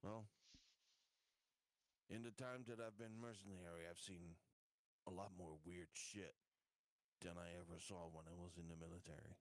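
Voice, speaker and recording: A man talking casually during a tabletop roleplaying game, halting as he searches for his words. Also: low-pitched, steady tone, no room sound. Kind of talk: casual improvised roleplay talk.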